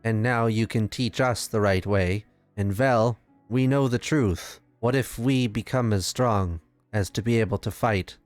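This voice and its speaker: A determined young hero type of mortal adventurer, acted by a man, earnest and persuasive. The male voice is very smooth and sits slightly low, with soft music behind. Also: a little slow, few pauses, no echo, lightly acted.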